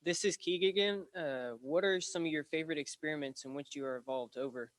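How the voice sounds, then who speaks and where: A man's voice: on the high side, quick pace. A male student asking prepared questions during a radio contact. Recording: very noisy radio contact audio, clicks.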